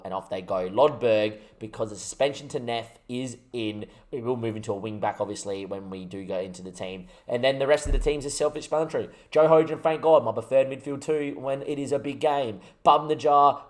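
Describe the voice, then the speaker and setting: Chatty man streaming a game. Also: medium pitch. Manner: excitable, rallying, chatty with chat. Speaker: gaming streamer. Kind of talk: live Football Manager stream commentary.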